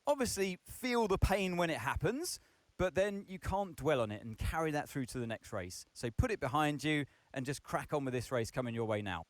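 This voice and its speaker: A calm racing pundit. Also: male voice, on the high side, slight background noise. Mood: advising. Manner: measured pundit advice. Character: male former-driver race pundit, calm. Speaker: game co-commentator. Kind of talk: scripted broadcast commentary.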